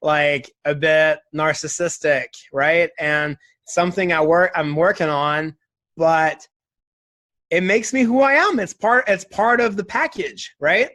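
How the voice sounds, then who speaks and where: Man in his twenties, fairly high voice, interview guest, casual reflective talk, earnest, conversational tags. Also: measured pace, bright, forceful tone. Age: 20s. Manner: earnest, frequent "like" and "right?".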